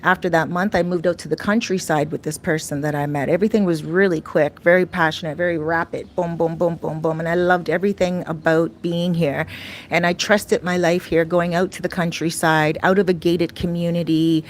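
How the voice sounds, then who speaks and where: A middle-aged woman vlogging casually about her life abroad, chatty and rambling, her voice a little raspy and often clearing her throat. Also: fairly low pitch, fairly even intonation, fairly quick, a little hiss or hum. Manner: chatty, rambling, with many fillers and restarts. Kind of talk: casual talk to camera. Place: her home in a Dominican neighborhood.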